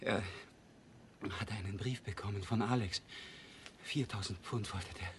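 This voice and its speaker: Halting, wounded small-time crook acted by a man. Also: fairly low pitch, slight background noise, natural voice. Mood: weak, pleading. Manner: halting, broken phrases. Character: wounded small-time crook, frightened, gasping out his story. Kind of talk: German radio crime drama acting.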